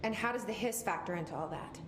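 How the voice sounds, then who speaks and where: An actress playing a determined, businesslike agency director in a video game, terse and direct. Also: female voice, medium pitch, quick pace, a loud crowd, close to an everyday voice. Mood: curious. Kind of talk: video game character dialogue.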